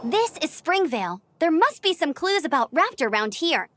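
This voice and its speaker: A woman's voice: high-pitched, sing-song, normal speed, background noise. A young woman voicing an eager, confident young female scout in a video game. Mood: investigating. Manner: eager and confident, takes charge. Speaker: outrider scout.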